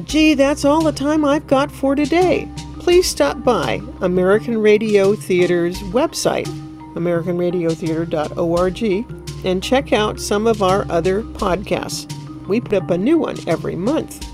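Woman podcast host, chatty n friendly, takes it slow, bit of music behind. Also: mid-range voice, animated. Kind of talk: friendly informal sign-off.

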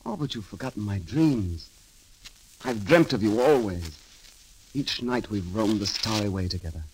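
An actor playing a roguish, glib young poet-thief in a theatrical, flowery 1930s radio drama manner. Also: male voice, on the low side, lively intonation, moderate pace, slight background noise, lightly acted, poor audio. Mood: ardent, romantic. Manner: theatrical, flowery, grandly declaiming. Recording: old 1930s radio broadcast, music behind.

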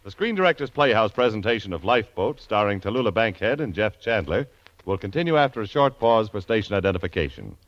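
A man's voice: fairly low pitch, sing-song, quick pace, dry recording. An American man announcing on old-time radio in a polished, emphatic, scripted sales delivery. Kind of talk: 1940s-50s scripted radio announcing and advertising. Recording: dull vintage radio broadcast.

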